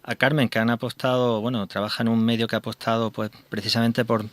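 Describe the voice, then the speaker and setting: A man hosting a radio interview, asking questions and steering the talk in a steady manner. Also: medium pitch, quick pace, no room sound. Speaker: radio show host.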